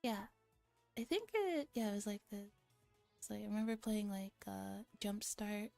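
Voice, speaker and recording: Soft, mellow, whispery ASMR woman. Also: mid-range voice, animated, normal speed, soft background music. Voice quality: soft, breathy, often whispering. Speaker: streamer. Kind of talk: ASMR visual novel read-aloud with casual chat.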